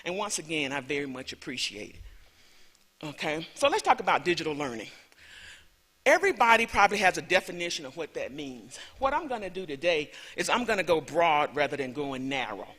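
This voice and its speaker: A woman's voice: low voice, sing-song, a little fast, a bit bright. A woman from Alabama with a Southern US accent, giving a chatty, rambling conference talk as a visiting lecturer, full of self-deprecating asides. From Alabama, USA. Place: large lecture hall. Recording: reverberant hall.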